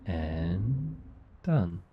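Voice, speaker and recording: A man with an Australian accent playing a gentle, affectionate, protective demon in an intimate, soothing ASMR roleplay. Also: low voice, very animated, extremely slow, slightly rough, highs cut off, exaggerated voice. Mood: reassuring, coaxing. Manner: soft, intimate, soothing, teasing. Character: gentle, affectionate male sleep paralysis demon, protective comforter.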